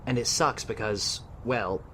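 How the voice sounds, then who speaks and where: A woman playing a friendly, sympathetic young survivor in an audio drama, helpful and gently frank. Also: very deep voice, unhurried, slight background noise. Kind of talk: amateur audio drama acting.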